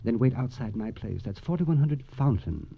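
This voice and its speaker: A man's voice: mid-range voice, expressive, normal speed, vocal fry, slightly rough. An actor playing a nervous, evasive businessman in 1940s radio crime drama, hesitant and stammering. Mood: frightened, fussy. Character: nervous, evasive male businessman, frightened and self-justifying. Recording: muffled vintage radio recording.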